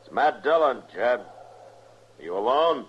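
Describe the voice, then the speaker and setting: An actor playing a stern, steady American marshal in a western radio drama, speaking in terse, commanding phrases. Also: male voice, mid-range voice, slow pace, slightly rough, full character voice. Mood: wary. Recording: muffled, noisy old radio recording.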